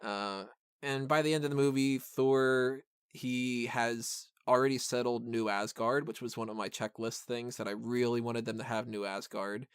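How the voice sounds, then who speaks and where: A man with a clean, smooth voice hosts a casual podcast review. He rambles along enthusiastically as he talks. The sound is close and dry, with a mid-range pitch. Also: fairly even intonation, normal speed, no echo. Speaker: podcast host reviewing a film. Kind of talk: casual film discussion.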